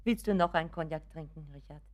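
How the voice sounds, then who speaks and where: A woman's voice: low voice, fast, a little mellow, lightly acted. An actress playing an anxious, doting mother in a German radio drama, fussing and apologetic, often dropping to a whisper. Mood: eager, apologetic. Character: anxious, doting, pleading mother of grown son.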